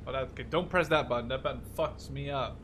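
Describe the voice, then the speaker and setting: A young man giving casual, excitable gameplay commentary, swearing freely as he reacts out loud to the game. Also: medium pitch, fast talker, a little hiss or hum. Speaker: gaming video host. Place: small room at home, indoors.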